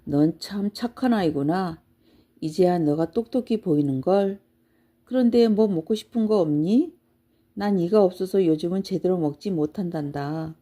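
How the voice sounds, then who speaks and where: A woman voicing a very old, toothless male giant from a children's storybook, gruff and blustery, with grumbles and exclamations. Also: fairly low pitch, moderate pace, dark tone, lightly acted. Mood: kindly.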